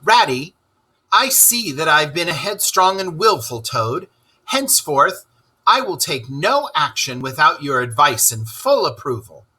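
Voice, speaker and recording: A man voicing a headstrong, excitable, boastful toad in a children's story, with blustering, melodramatic delivery. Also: on the high side, a little slow, husky, pitched above the performer's own voice, exaggerated voice, low-quality recording. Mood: contrite. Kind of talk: animated children's storybook character reading.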